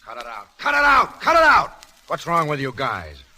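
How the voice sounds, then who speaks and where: A man playing a gruff, scolding airport boss with blunt commands in a 1930s Hollywood film. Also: on the high side, wildly swooping pitch, moderate pace, bright, forceful tone, heavily acted. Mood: angry, scolding. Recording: vintage film soundtrack, faint background noise.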